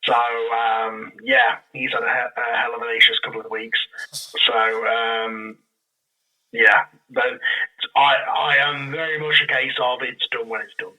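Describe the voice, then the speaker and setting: A man with a sharp, bright voice that has a raspy edge. He talks casually as a podcast guest, wandering and doubling back as he explains his creative work. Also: medium pitch, flat delivery, unhurried, low-quality recording. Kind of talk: casual interview chat.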